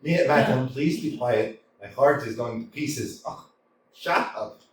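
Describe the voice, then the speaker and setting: A man's voice: mid-range voice, a little slow, slight background noise, natural voice. A man reading a fretful hypochondriac suitor. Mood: agitated. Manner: fretful, complaining, flustered. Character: anxious hypochondriac young male landowner, quarrelsome. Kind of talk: staged play reading. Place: small theatre stage. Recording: echoey hall from far off.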